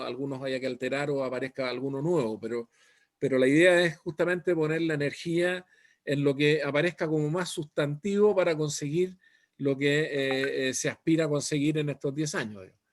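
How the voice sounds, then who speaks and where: A Chilean man moderating a panel discussion, hesitant and full of fillers. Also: a little high. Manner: hesitant, many fillers and "digamos".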